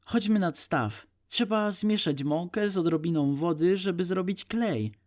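A man's voice: high voice, a little slow. A man voicing a cheerful, bossy little female frog who gives eager instructions.

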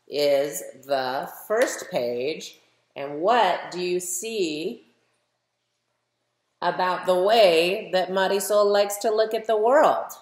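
A middle-aged American woman, a children's author, reads a picture book aloud and speaks directly to young listeners with emphatic, animated delivery. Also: on the low side. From United States. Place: medium living room at home, indoors. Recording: roomy, echoey home recording.

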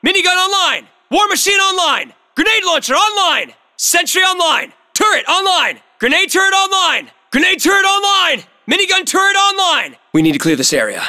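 An actor playing a tough squad soldier in a military shooter game, giving urgent, clipped battlefield callouts. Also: male voice, extremely high pitch, big pitch swings, normal speed, few pauses, bright, forceful tone, in a large hall, heavily acted. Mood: tense, watchful. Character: tough male soldier on a squad fighting aliens.

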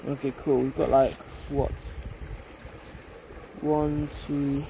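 A man's voice: medium pitch, flat delivery, gravelly, mellow, poor audio. A young man chatting casually with friends while resting on a hike, filmed on a handheld phone outside. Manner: chatty, quick questions, offhand. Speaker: vlogger on hiking expedition. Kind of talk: casual chat with friends outdoors. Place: outdoors on a grassy hillside. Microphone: handheld phone camera. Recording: outdoor wind and ambient noise.

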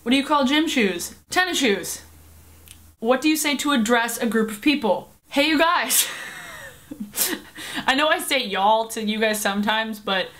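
A woman's voice: fairly high pitch, expressive, normal speed, long pauses, a bit bright. A young woman from the Seattle area, chatting casually to camera in a rambling way with fillers. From Pacific Northwest, Seattle area. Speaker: vlogger. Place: small cluttered bedroom, indoors. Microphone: camera at close range.

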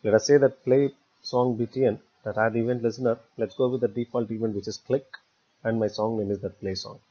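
A man narrating a step-by-step screencast tutorial as an instructor, phrasing haltingly in short, repetitive chunks. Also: medium pitch, steady tone, mellow. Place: voice-over for a screen recording. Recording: dull, lo-fi voice recording.

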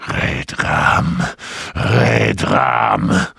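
A man's voice: extremely low pitch, very animated, extremely slow, gravelly, sharp tone, breathy edge, no room sound, clean studio sound, heavily acted. An actor voicing a sinister male voice inside a madman's head, cold, coaxing and menacing. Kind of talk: video game parody dialogue.

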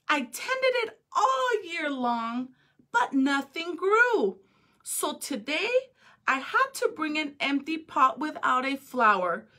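Woman voicing a high, tearful little boy. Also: pushed and bright, exaggerated voice. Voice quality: high, whimpering. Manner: slow, pleading.

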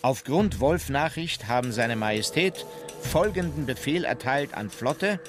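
An actor playing a sober naval officer, narrating a diary factually and formally in a historical radio drama. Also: male voice, mid-range voice, expressive, measured pace, over music, close to an everyday voice. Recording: clearly noisy outdoor background.

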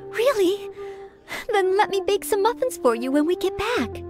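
An actress voicing a polite, earnest, anxious young maid-knight in an anime-style video game, courteous and a little hesitant. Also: female voice, very high voice, big pitch swings, moderate pace, over music. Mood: pleased, polite.

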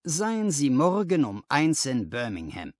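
A man's voice: a little high, huge pitch swings, a little slow, darkish tone, no room sound, clean studio sound. A man voicing an effusive, persuasive businessman in a story, emphatic and a little patronising. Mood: businesslike. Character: brisk, effusive businessman recruiter, flattering and persuasive.

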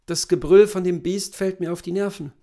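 A man's voice: high-pitched, steady tone, moderate pace, creaky, dark tone, pitched above the performer's own voice. A man reading a story, voicing a nervous, irritable husband who is new to hunting and complains fretfully. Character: nervous, irritable husband, a novice hunter on safari.

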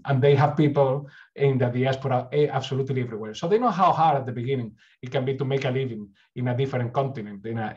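A man's voice: mid-range voice, a little mellow, highs cut off. A middle-aged man from Spain with a Spanish accent, giving an earnest university presentation over slides with frequent restarts. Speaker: university recruitment speaker. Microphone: webcam on a video call.

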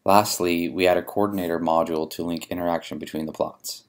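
Male narrator, low register, measured and methodical, for a scripted software demo. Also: steady tone. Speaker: software demonstrator. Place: voice-over for a screen recording.

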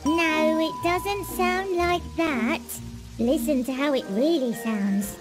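Curious storybook helper, played by a woman, fussy and high-pitched, clear music behind. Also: slow pace. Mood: curious, instructive. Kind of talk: children's storybook character acting.

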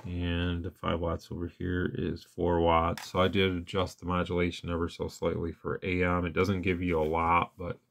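A man's voice: deep voice, completely flat delivery, moderate pace, vocal fry, hardly any pauses, fairly bright tone. A man hosting a casual hands-on radio gear demo, talking in a plainspoken, informal way. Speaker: radio review host. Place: indoors at an equipment bench.

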